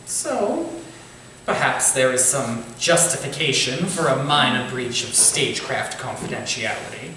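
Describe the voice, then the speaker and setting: A man's voice: medium pitch, lively intonation, measured pace, sharp tone. A man playing a learned Victorian theatre manager, speaking formally with dry wit in a staged reading. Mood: confiding. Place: echoey room or small theatre, staged reading. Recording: roomy, distant stage recording, faint noise.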